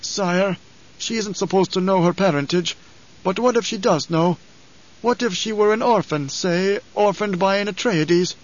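A man voicing a loyal old advisor in an audiobook, grave and deferential, with a measured, formal, hesitant delivery. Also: high-pitched, highs cut off, pitched above the performer's own voice. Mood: insistent. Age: older.

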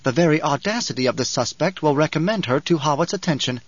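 A sly adviser, voiced by a male narrator, lays things out precisely in a slightly high male voice. The delivery is measured and smug, in audiobook style, on a very dry recording. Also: expressive, no room sound, muffled recording. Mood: smug scheming. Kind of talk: audiobook character acting.

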